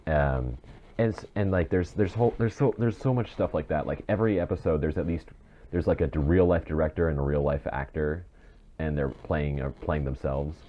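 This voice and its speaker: A man's voice: rumbling low, fairly quick. A man hosting a podcast in casual chat about film and TV, rambling and often restarting his phrases. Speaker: podcast host. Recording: dull muffled mic with faint noise.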